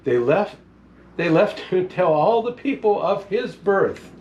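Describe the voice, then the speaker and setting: A man playing a jolly, kindly old Santa Claus, reading a picture book to children in an unhurried, grandfatherly way with chatty asides. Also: high-pitched, very animated, a little mellow, muffled recording, a little hiss or hum, full character voice. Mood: gentle storytelling.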